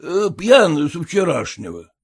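Man doing a mild peasant driver talking folks down, husky, lively. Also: a little high, slow pace, full character voice. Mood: placating. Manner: coaxing, soothing.

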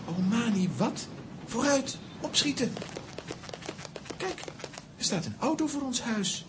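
A woman's voice: fairly high pitch, expressive, takes it slowly, noisy background. A woman voicing a practical, sceptical young boy in a children's audio drama, with a blunt, matter-of-fact manner. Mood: brisk.

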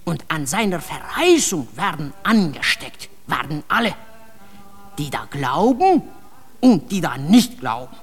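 Radio drama: stern religious zealot, low husky delivery, preaching solemnly in a long reverberant hall. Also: female voice, very animated, unhurried, soft background music, heavily acted. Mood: prophetic, fervent. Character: devout, stern believer proclaiming religious rules and truth.

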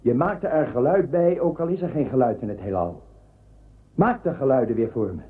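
An actor playing a sly, imperious emperor in a science-fiction audio drama, speaking in a measured, commanding way. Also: male voice, medium pitch, animated, slightly rough. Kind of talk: science-fiction radio play acting. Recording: narrow phone-like band, faint noise.

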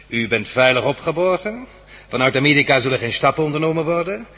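An actor playing a smug, menacing crime villain in a radio drama, mock-polite and taunting. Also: male voice, on the high side, expressive, fast, pushed and bright. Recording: echoey hall reverb.

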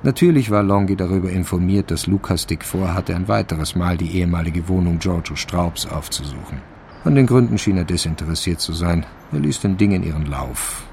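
A man's voice: sits low, a little fast, darkish tone, a little hiss or hum. A man narrating a radio crime drama as a composed, wry literary storyteller.

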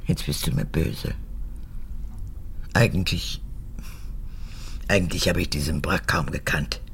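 An actress playing an imperious, cunning old mother in a radio drama, capricious and theatrical, moving between whispers and sharp words. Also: extremely low pitch, a little slow, slight background noise. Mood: wheedling. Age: older. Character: imperious, cunning old mother, forgetful former Nazi fanatic. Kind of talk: German radio drama acting.

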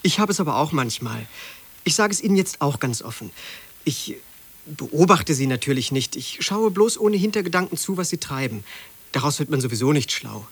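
A man's voice: fairly high pitch, sing-song, moderate pace, breathy edge, heavily acted. A man playing a candid, solitary teacher in a naturalistic radio drama, speaking courteously and frankly. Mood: calm, candid. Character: candid, solitary male teacher and amateur painter.

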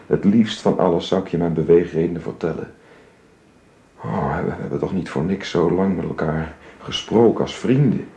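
A man's voice: low-pitched, gravelly, warm tone. A man playing a reserved, earnest father and employer who speaks formally and hesitantly in a radio drama with a roomy, hissy recording.

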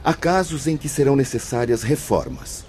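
An actor playing a learned, patient spirit mentor in a Brazilian radio drama, lecturing formally and solemnly. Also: male voice, mid-range voice, very animated, normal speed, darkish tone, a little hiss or hum, natural voice. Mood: grave, explanatory. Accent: Brazilian Portuguese.

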